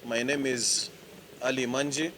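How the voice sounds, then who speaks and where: A middle-aged man speaks as a company director, explaining his business steadily and moving between languages. His voice sits at an ordinary pitch. A strong wash of background noise runs through the recording. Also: fairly even intonation, fairly bright tone. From Tanzania. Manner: measured, explanatory, switching languages. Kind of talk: business presentation. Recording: noisy on-site recording.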